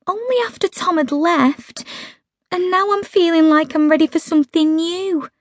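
Woman voicing a breathy, lovelorn young woman. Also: very high-pitched, moderate pace, no room sound, clean studio sound, voice pushed up. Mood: plaintive. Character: heartbroken dreamy young woman, romantic and wistful. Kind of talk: audiobook character voice.

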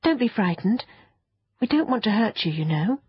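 A woman acts out polite young children from a storybook, raised high and very breathy. They sound earnest, curious and eager to please, with lively swings in pitch. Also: unhurried, muffled recording, full character voice. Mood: gently placating. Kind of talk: children's storybook character voices.

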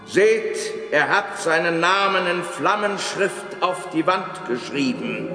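A man playing a fanatical master of an evil sect in a horror radio drama, speaking solemnly and ceremonially in drawn-out incantations. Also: sits high, animated, bright, forceful tone, heavily acted. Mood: fervent invocation. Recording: long echoing hall reverb. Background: prominent music.

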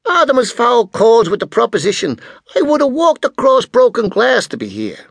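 A man's voice: very high voice, huge pitch swings, moderate pace, gravelly, breathy edge, dry recording, studio quality, pitched above the performer's own voice, full character voice. A man voicing a brash, effusive middle-aged American businessman in an audiobook story. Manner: effusive, slangy.

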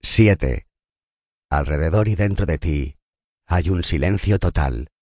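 A man narrating a scripted guided meditation in a calm, soothing and unhurried manner. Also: low voice, no echo, clean studio sound.

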